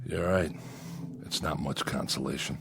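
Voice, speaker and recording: An actor playing a bitter, lonely convict exiled on an asteroid in a radio drama, terse, sardonic and easily exasperated. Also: male voice, a bass voice, normal speed, gravelly, noisy background. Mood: wry, sardonic. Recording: radio drama with music underneath.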